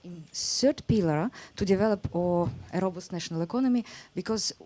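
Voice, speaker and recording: A woman's voice: on the low side, fairly quick, mellow. A woman from Kazakhstan asking a question from the floor at a press event, hesitant and searching for words. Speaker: audience member asking a question.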